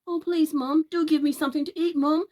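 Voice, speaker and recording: A woman's voice: high-pitched, fairly even intonation, brisk, darkish tone, pitched above the performer's own voice, lightly acted. A woman voicing a polite, earnest young boy in a children's storybook, wheedling and eager. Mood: pleading.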